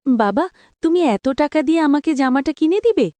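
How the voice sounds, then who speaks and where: A woman's voice: high voice, lively intonation, normal speed, mellow, slight background noise. A woman playing an eager, hopeful young daughter of a poor family in a cartoon, earnest and pleading. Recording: background music under the voice.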